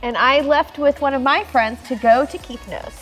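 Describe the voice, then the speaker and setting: A woman's voice: high voice, moderate pace, a bit bright, soft background music, poor audio. Enthusiastic American woman vlogging outdoors. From United States. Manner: enthusiastic, chatty, laughs easily. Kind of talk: casual travel vlog talk. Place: outdoors at a harbor.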